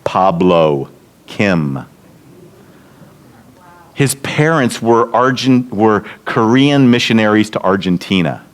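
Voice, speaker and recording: A man's voice: lowish voice, expressive, slow pace, long pauses. A man giving a storytelling sermon at a church event, building to emphatic points. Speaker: seminary leader speaking at church event. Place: church meeting hall.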